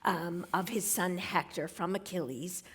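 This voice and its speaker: A woman hosting an onstage author interview at a library event, self-deprecating and quick to laugh, on a stage mic. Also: lowish voice, breathy edge. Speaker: interview host. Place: public library event hall.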